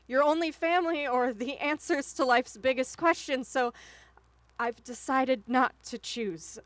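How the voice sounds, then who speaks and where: A somewhat high-pitched mother in a radio play, acted by a woman. She speaks earnestly, with small hesitations, regretful yet resolute, as if leaving a loving recorded message for her family. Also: unhurried, a bit bright, dry recording. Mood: apologetic, resolute. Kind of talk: radio drama acting.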